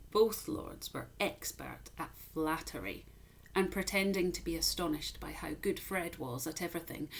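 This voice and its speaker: A woman reading a children's story aloud like a teacher, with emphatic, expressive narration. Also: fairly low pitch, gravelly, a little hiss or hum.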